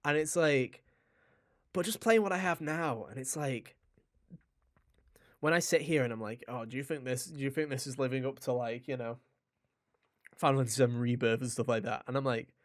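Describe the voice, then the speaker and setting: A man's voice: mid-range voice, normal speed, pauses often. A man hosting a gaming podcast, talking casually in an enthusiastic, rambling way with some swearing. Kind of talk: casual video game discussion.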